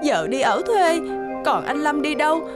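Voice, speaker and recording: A woman's voice: sits high, big pitch swings, unhurried, over loud music. A woman voicing a distressed, reproachful wife in a cartoon, with a scolding, plaintive manner. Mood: tearful reproach. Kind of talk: cartoon voice acting.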